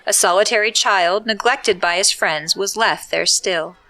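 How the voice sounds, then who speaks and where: A woman's voice: mid-range voice, unhurried, bright, forceful tone. A woman reading scripted storybook narration for an audio drama.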